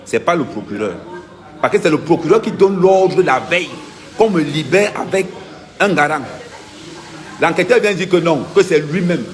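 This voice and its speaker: A man's voice: on the high side, animated, normal speed. A man, an activist vlogger giving an insistent, emphatic first-person account and repeating his key points.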